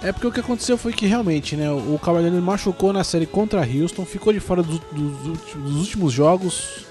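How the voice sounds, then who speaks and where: Guy from sao paulo, pretty high voice, stuffed up with flu, rambly casual podcast host chat. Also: fairly quick, darkish tone, faint music behind. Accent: São Paulo Brazilian Portuguese. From São Paulo, Brazil. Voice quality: congested from a cold. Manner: rambling, self-deprecating, informal slang. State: has the flu. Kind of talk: casual sports news chat.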